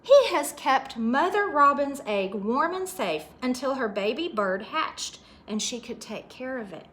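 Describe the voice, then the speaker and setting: A woman's voice: high-pitched, lively intonation, unhurried, a bit bright, a little hiss or hum. A woman voicing a kindly, stately male Easter rabbit from a storybook, in a gracious, declaratory way. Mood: proud, announcing.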